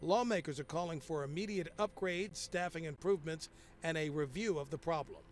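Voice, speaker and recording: A male TV field reporter with an American accent and a fairly high voice. He delivers news in a broadcast style, with clear outdoor traffic and airport noise around him. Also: brisk.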